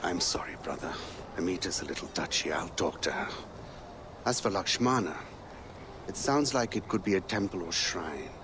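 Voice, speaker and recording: An actor playing an earnest male rebel leader in a video game cutscene, intense and brotherly, swinging between hushed and shouted lines. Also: low-pitched, lively intonation, moderate pace, noisy background. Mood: firm.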